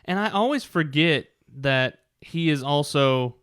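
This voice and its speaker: A man's voice: fairly high pitch, expressive, measured pace, fairly bright tone, smooth, no echo, clean studio sound. A man hosting a sports show, asking questions and chatting in a conversational radio style. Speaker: sports show host, interviewer.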